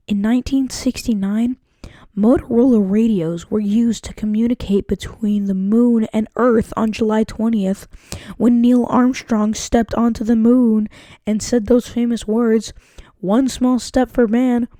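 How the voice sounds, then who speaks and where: A woman's voice: a little high, steady tone, measured pace, mellow, dry recording. A woman student presenter reading scripted documentary narration, occasionally stumbling over a word.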